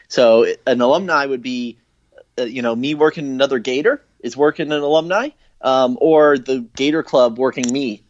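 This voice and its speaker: Man, informal interview explanation, enthusiastic, filler-heavy and halting, dry sound, dull lo-fi recording. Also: medium pitch, no echo. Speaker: radio show guest explaining a contest. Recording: dull, lo-fi call-in audio.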